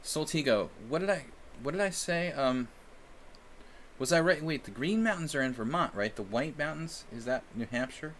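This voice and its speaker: A man's voice: on the high side, lively intonation, normal speed, a bit bright, a little hiss or hum. A man from the United States streaming chess and chatting casually with viewers in a rambling, self-deprecating way. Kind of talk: casual live-stream chat.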